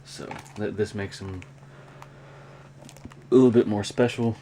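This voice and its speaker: A man talking casually and unscripted in a hobby show-and-tell vlog, with a rambling, halting delivery. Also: fairly low pitch, steady tone, mellow. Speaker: hobby vlogger, cassette collector. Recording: clear background noise.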